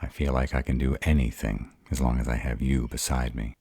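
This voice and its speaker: A man voicing a bashful, awkward male were-dragon in love, halting and earnest, in a romantic roleplay audio. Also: very deep voice, fairly even intonation, vocal fry, darkish tone, breathy edge, no room sound, clean studio sound, full character voice. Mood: nervous, earnest.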